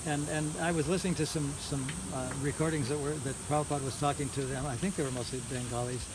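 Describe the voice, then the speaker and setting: Man reminiscing haltingly over cricket noise. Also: a little high, fairly even intonation. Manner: halting, repeats words as he recollects. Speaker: religious lecturer. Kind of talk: informal reminiscing lecture. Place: housewarming gathering, small live audience. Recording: noisy outdoor-like recording with insects. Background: loud hiss and chirping crickets.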